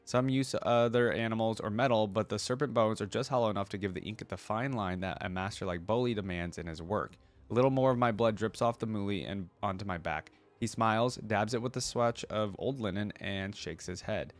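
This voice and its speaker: A man's voice: fairly low pitch, fairly quick. A man hosting a reaction video, reading lore aloud and adding casual commentary as he thinks aloud.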